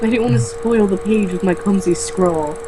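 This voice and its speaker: Female amateur reader, informal book narration with occasional stumbles, middle-pitched voice, faint noise behind. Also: moderate pace. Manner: informal, stumbling over words and rereading. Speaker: amateur reader in a comedic read-aloud video. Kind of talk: reading a novel aloud, first-person narration.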